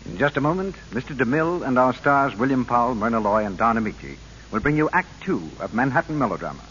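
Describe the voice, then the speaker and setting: Hissy old radio, male announcer doing a polished 1940s sales pitch, voice goes up and down a lot. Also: very animated, quick pace, highs cut off.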